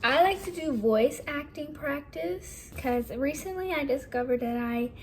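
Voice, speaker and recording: A young woman with a naturally high voice, talking casually and rambling a little as she shares acting tips from home. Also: expressive, a little slow, slight background noise, rough recording. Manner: chatty, rambling, self-correcting, casual. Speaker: vlogger.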